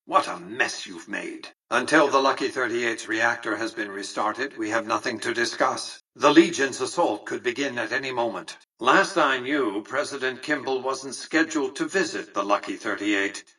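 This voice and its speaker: Imperious, husky-edged, bright-voiced old tycoon. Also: male voice, mid-range voice, normal speed, few pauses, recorded from a distance, low-quality recording. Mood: commanding, businesslike. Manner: formal, articulate, condescending, dryly superior. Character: cold, imperious old male tycoon ruling a casino city. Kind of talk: scripted video game character dialogue.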